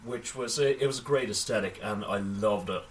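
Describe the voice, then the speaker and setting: A man co-hosting a casual film news podcast, sometimes reading items out, with halting delivery and wry asides. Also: fairly low pitch. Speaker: podcast co-host. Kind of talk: casual film news discussion, partly read out. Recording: voice slightly distant from microphone.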